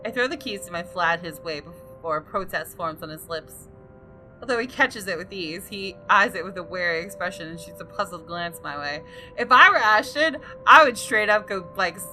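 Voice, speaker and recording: Bright-voiced woman reading game narration. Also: on the low side, expressive, moderate pace, faint music behind, rough recording. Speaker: gaming video host. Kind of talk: reading game narration aloud with casual asides.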